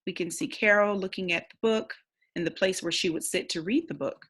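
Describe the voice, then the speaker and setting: A woman reading a storybook aloud like a teacher, pausing to think aloud and explain. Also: fairly low pitch, a bit bright, breathy edge.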